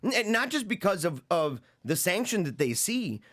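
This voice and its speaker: Halting, reflective man in his forties. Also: high-pitched, big pitch swings, fairly bright tone. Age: 40s. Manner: rambling, halting, repeats phrases while thinking aloud. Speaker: podcast host discussing a book. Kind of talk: reflective solo commentary.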